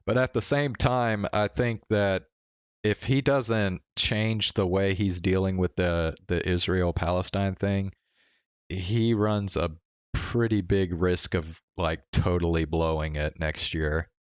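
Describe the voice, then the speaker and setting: A man livestreaming in a casual, rambling chat, halting and stammering through restarts and swearing casually. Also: low voice, steady tone, smooth, dry recording, muffled recording. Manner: casually profane.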